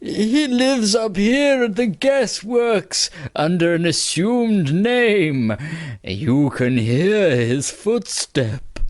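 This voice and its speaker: An actor playing an earnest, excitable male suitor in a comic parody play, fussy, exclamatory and melodramatic. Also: medium pitch, very slow, no echo, clean studio sound. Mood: fearful, solemn. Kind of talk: comic stage-play acting.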